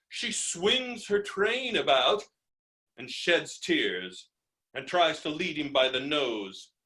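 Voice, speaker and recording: Guy playing a loud-mouthed melodramatic boor in a stage farce, really piercing voice, theatrical and mocking, pitch all over. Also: full character voice, rough recording. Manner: theatrical, declamatory, mocking, blustering. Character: blustering, boorish, melodramatic man railing against women. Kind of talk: staged reading of classic stage comedy.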